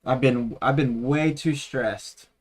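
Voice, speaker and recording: A man's voice: mid-range voice, slow. A tired man losing his voice, rambling with many fillers as he chats casually on a live trading stream.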